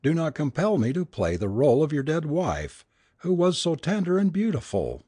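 A man's voice: a little high, sing-song, moderate pace, dark tone, slightly rough, no echo, studio quality, pitched above the performer's own voice. A man voicing a worn-out, frightened and submissive woman in an audiobook, pleading in an anguished, melodramatic way. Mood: desperate pleading. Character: worn-out, terrified, submissive woman, a medium's assistant. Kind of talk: dramatic audiobook character reading.

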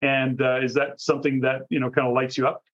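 A man's voice: medium pitch, completely flat delivery, fast, a bit bright. A man hosting a conversational interview podcast, asking long, rambling, discursive questions. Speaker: podcast host interviewing a guest. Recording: dull, lo-fi audio.

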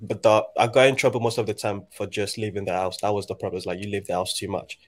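A man in his late twenties, raised in Nigeria, speaks in a fairly low voice. He talks thoughtfully and haltingly, restarting his sentences as he works through his ideas in a relaxed conversation. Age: 20s. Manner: reflective, halting, many restarts. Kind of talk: casual conversation.

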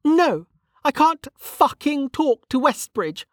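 Woman acting a bitter, high-pitched, hot-tempered woman. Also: sing-song, slow, no echo, clean studio sound, exaggerated voice. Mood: angry, defiant. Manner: snappish, scornful.

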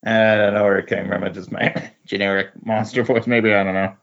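A man's voice: lowish voice, little pitch movement, fairly quick, gravelly, a bit bright. A man chatting casually as a podcast guest, in a tentative, hesitant way. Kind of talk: casual chat. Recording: dull, lo-fi voice recording.

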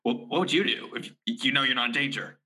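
Very bright, forceful-voiced joking man. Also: mid-range voice, fast talker. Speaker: amateur comedy writer. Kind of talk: casual chat.

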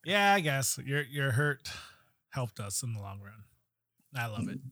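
A man in his thirties co-hosting a casual podcast, animated and laughing at himself. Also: medium pitch, unhurried, no echo, studio quality. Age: 30s. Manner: animated, laughing, self-mocking. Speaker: podcast co-host. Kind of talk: casual banter with friends.

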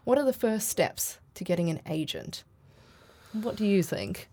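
A woman hosting a podcast, who asks friendly, brisk questions and reads out the closing. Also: medium pitch, expressive, dark tone. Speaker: podcast host. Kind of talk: podcast interviewing.

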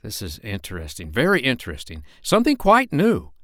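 A man voicing a mild, upright and curious gentleman doctor, earnest and polite, in a children's storybook. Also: mid-range voice, wildly swooping pitch, fairly quick, no room sound, clean studio sound, pitched above the performer's own voice. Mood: excited, curious.

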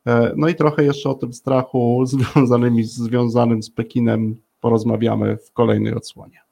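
A man hosts a radio interview casually. His voice sits at a middling pitch and has a strong gravelly creak. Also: flat delivery, normal speed, dark tone. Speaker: radio host.

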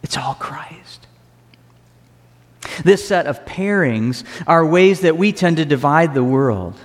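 A man preaching a sermon as pastor, earnest and emphatic, slowing down to stress key phrases. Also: fairly high pitch, expressive. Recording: reverberant hall.

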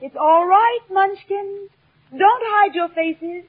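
A woman's voice: extremely high pitch, takes it slowly, noisy background. An actress playing a gracious, self-assured good witch in a grand, lilting, theatrical old radio drama style. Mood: reassuring. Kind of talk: 1930s-50s radio drama acting. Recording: tinny old radio broadcast, faint music.

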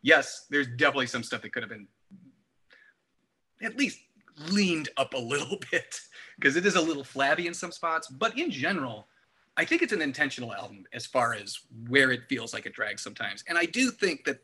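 A man's voice: mid-range voice, expressive, brisk, sharp tone, slightly rough. A man talking casually through an album discussion, explaining his views in wordy, analytical detail.

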